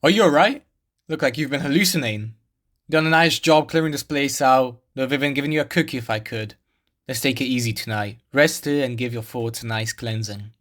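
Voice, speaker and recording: A man's voice: medium pitch, brisk, fairly bright tone, natural voice. A man acting as a protective, plain-spoken wasteland survivor in game cutscene dialogue. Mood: reassuring.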